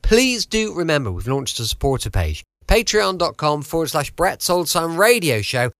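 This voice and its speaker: Guy hosting his podcast from home, bouncy lively voice, dry close sound. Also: a little high, normal speed, no echo. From England. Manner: chatty, enthusiastic. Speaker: podcast host. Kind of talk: casual podcast introduction.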